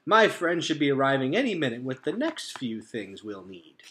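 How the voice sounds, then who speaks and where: A man voicing a jolly, confident male beaver handyman in a storybook reading, booming and slow. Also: very animated, fairly bright tone. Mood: hearty.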